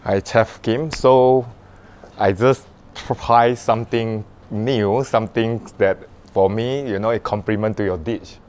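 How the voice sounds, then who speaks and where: Low-voiced man chatting over dinner. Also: a little slow. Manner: relaxed, hesitant, says "you know" often. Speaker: diner guest. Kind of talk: casual dinner conversation. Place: upscale restaurant dining room.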